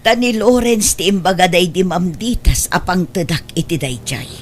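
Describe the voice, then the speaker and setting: A woman's voice: fairly low pitch, moderate pace, a little hiss or hum, natural voice. A woman playing an anxious, tender mother in an Ilocano audio drama. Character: anxious, tender mother protecting her daughter.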